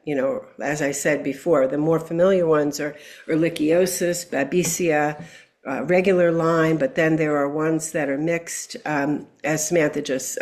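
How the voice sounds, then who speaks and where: A woman, a health practitioner guest, giving long explanatory answers with frequent fillers over a video call. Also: low-pitched. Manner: explanatory, steady, many fillers. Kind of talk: interview answer.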